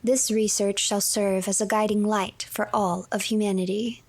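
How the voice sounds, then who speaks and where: A woman streamer giving measured, scripted story narration of a video game and reading its files aloud. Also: mid-range voice, little pitch movement.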